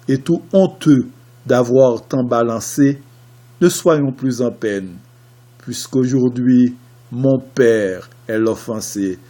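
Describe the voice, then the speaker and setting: A man playing a young nobleman torn between love and honour in a classical French verse tragedy, declaimed in a solemn, measured way. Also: medium pitch, dark tone. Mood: resolute.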